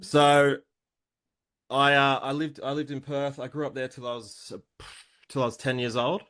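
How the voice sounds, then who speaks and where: A man from Western Australia with an Australian accent, a podcast guest talking in a relaxed, easygoing way. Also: medium pitch, normal speed. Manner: laid-back, laughs easily. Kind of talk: casual interview chat.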